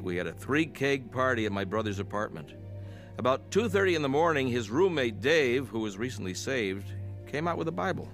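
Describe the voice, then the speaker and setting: A male actor playing a reckless, likable young hot-rod stoner in a dramatised radio testimony, slangy and excitable. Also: mid-range voice, lightly acted.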